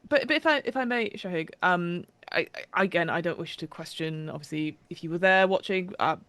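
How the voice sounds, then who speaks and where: A woman's voice: on the low side, a little fast, fairly bright tone, dry recording. A woman improvising on a comedy panel, polite and mock-formal as she carefully picks her way through sentences. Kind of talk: casual improvised comedy role-play.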